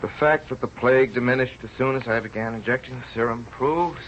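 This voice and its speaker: A man's voice: medium pitch, brisk, fairly bright tone, slight background noise, close to an everyday voice. An actor in a 1930s radio drama playing an earnest, driven male doctor who tells his story in a grave, dramatic way. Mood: defensive. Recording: muffled old radio recording with music.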